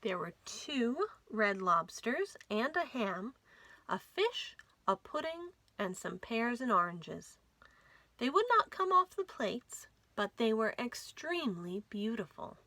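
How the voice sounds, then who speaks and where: Lively, high-pitched woman reading a storybook. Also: slow, dry recording. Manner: dramatic, animated storytelling with whispers and emphasis. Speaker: library storytime reader. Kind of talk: expressive children's storybook reading.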